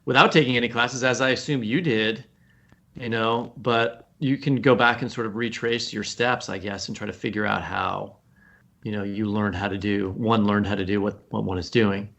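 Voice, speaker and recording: A man's voice: fairly low pitch, steady tone, normal speed, vocal fry, fairly bright tone. A man speaking as a podcast guest, a writing teacher telling anecdotes in an informal, self-deprecating, salty way. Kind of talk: casual interview chat, anecdotal.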